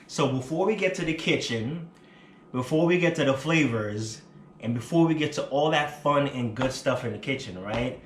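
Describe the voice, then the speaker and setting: A Jamaican-accented man chats straight to his viewers in a relaxed, storytelling way, walking them through home cooking. His voice sits in an ordinary middle pitch. The sound is picked up by a camera mic in a small room with plenty of echo. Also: normal speed, a little hiss or hum. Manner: chatty, storytelling, addresses viewers directly. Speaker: cooking vlogger. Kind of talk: casual cooking show chat. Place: small apartment living room, then home kitchen.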